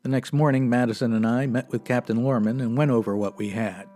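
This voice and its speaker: Male actor, by-the-book old-time radio detective, man, deadpan, clipped, formal, medium pitch, faint music. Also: brisk, lightly acted. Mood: matter-of-fact. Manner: deadpan, formal, matter-of-fact. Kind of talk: 1950s radio detective drama parody, clipped narration.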